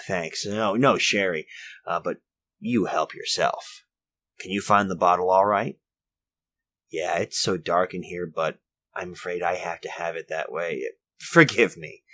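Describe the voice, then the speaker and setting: A man's voice: deep voice, sharp tone, exaggerated voice. An actor playing a fussy, orderly, mild-mannered man with a prim, hesitant, polite manner in a retro radio drama. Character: fussy, orderly, mild-mannered male workshop owner recounting a murder.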